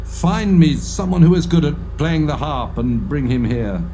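A king giving orders in an audio Bible drama. His voice is fairly high and mellow with lively pitch movement, over music. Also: unhurried, a little hiss or hum. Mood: ordering. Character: King Saul, male ruler giving orders.

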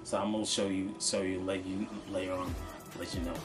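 A young man chatting casually in an unscripted vlog, halting and repeating himself as he stumbles over his words. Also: low voice, little pitch movement, music in the background. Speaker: vlogger showing convention purchases. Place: small cluttered room at home, indoors.

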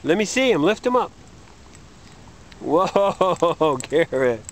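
A man's voice: a little high, big pitch swings, slow. A man filming his young son outdoors by a park pond, cheering him on and praising each catch. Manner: encouraging, praising a small child. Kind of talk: home video commentary. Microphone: handheld camcorder. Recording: camcorder audio with music over it.

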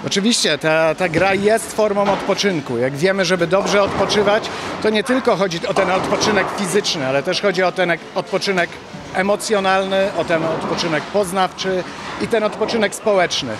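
A man in his forties, a team psychologist, calmly explaining in an interview at a bowling alley, into a handheld mic. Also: high-pitched. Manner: calm, measured explanations. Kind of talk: on-camera interview. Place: noisy bowling alley indoors. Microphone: handheld reporter mic. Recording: noisy venue background.

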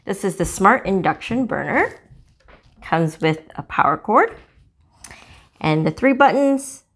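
A woman hosting a cooking channel, casually demonstrating a gadget in her home kitchen, chatty and relaxed with small fillers. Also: mid-range voice, measured pace. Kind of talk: casual product demo.

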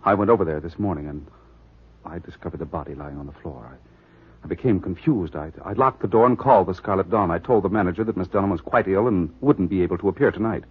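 An actor playing a suave, formal man, a detective's client in a 1940s radio drama, speaking in measured phrases. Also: low-pitched. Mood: uneasy, grave. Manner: measured, formal, smooth-talking. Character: suave, flirtatious, uneasy wealthy male client. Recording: muffled 1940s radio recording.

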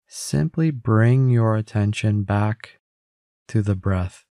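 A man leading a guided meditation in a calm, gentle, unhurried way, giving simple instructions with pauses. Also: lowish voice, no room sound, studio quality. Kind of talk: guided meditation, scripted narration.

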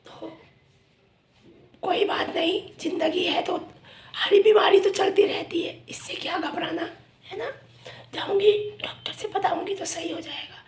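A middle-aged woman vlogging casually to camera with a hoarse, lost voice, talking emphatically in a half-whisper from a small room. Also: very high-pitched, steady tone, measured pace, roomy sound. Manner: emphatic, whispery from a sore throat.

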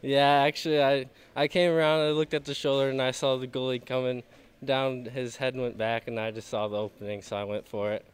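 A teenage boy, a high school hockey player, giving modest, halting answers in a postgame interview at an ice rink, into a held mic. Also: steady tone, clear, resonant tone. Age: teens. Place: indoor ice rink by the boards. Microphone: handheld microphone held by interviewer.

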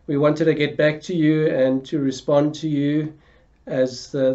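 Older man, pastor, earnest and flat-toned, mellow voice, dull home-video sound. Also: fairly high pitch. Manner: earnest, repeats words when restarting. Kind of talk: church announcement to camera. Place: home living room, seated in armchair.

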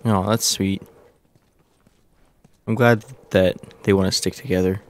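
A man with a stuffy, nasal voice giving relaxed, chatty commentary over a game. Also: deep voice, slow. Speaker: gameplay commentator. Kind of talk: casual let's play commentary.

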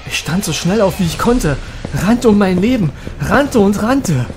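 A man's voice: sits high, very animated, a little slow, over music, full character voice. A man playing a haunted, desperate young monster trainer, telling his story in a horror audio drama. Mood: breathless panic. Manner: dramatic, anguished. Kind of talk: first-person horror audio drama narration.

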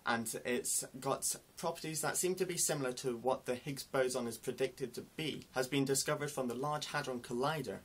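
A man's voice: mid-range voice, fairly bright tone. A young man explaining science news to camera in an earnest, explanatory way, recorded in a small indoor room on a camera mic at desk distance. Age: young adult. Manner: earnest, explanatory, gestures with both hands. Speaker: science news video host.

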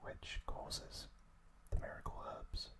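A man narrating trivia in a hushed ASMR style, unhurried and full of pauses. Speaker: host.

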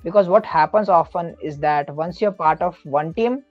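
A man's voice: a little high, normal speed, no room sound, lo-fi sound, soft background music. A man speaking as a podcast guest, giving earnest, explanatory advice in a casual way, often correcting himself mid-sentence.

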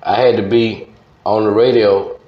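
A middle-aged man with a low voice and a Southern US accent tells stories in a casual interview. He repeats phrases for emphasis. The recording is roomy and somewhat muffled. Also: fairly even intonation, normal speed. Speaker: interview guest, former radio DJ. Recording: dull, roomy studio sound.

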